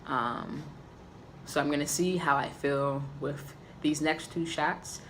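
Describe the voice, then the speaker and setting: Raspy, gravelly-voiced man vlogging. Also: fairly high pitch, fairly even intonation, takes it slowly, fairly bright tone, slight background noise. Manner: candid and open, many filler ums. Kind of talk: casual personal update to camera.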